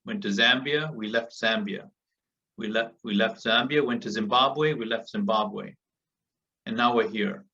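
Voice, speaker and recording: Earnest man, bright voice, muffled call. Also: lowish voice, measured pace, creaky. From Africa. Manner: earnest, emphatic repetition. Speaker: speaker on a group call. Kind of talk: motivational speech. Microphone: call audio. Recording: muffled, distant call audio.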